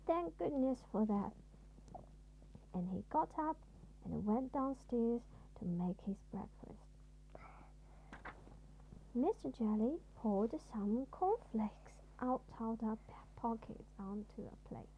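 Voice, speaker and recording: Female bedtime-story narrator, parental role: higher voice, deliberate phrasing, lo-fi recording with some noise. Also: animated.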